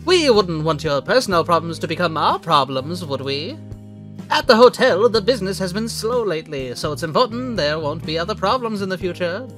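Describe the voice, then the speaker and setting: A man's voice: sits high, sing-song, a little fast, a bit bright, soft background music. A man playing a suave, menacing radio demon in a cartoon dub, talking with theatrical, condescending politeness. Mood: stern, condescending. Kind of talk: cartoon comic dub acting.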